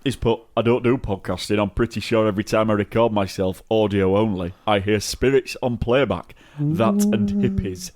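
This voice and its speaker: A Yorkshireman co-hosts a comedy podcast with a cold. His lowish voice swings about in lively fashion, and he banters casually, ready to laugh. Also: normal speed, hardly any pauses. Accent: Yorkshire English. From Yorkshire, England. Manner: jokey, prone to laughing. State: has a cold. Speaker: podcast co-host.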